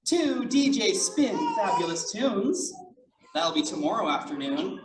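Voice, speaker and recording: A person reading picture books aloud to children in an animated, encouraging way, with chatty asides. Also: medium pitch, a little slow. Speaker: drag storytime reader. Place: echoey indoor room, library event. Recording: roomy, noisy, distant mic.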